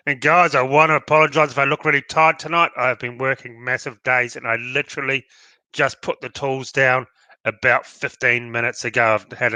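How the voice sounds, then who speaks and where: A man with an Australian accent hosts a casual live stream, his voice very bright and forward. His nose is blocked up from hay fever and he sounds worn out. The sound is dry and close, with no room at all. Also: mid-range voice, dry recording, low-quality recording. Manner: chatty and welcoming, says sorry for sounding tired.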